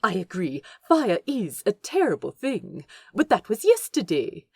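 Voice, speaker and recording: A woman's voice: medium pitch, big pitch swings, measured pace, gravelly, warm tone, breathy edge, no room sound, studio quality, full character voice. A woman voicing a male angel who keeps a bookshop, prim, fussily polite and indignant, in clipped, prissy phrases. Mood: tight politeness.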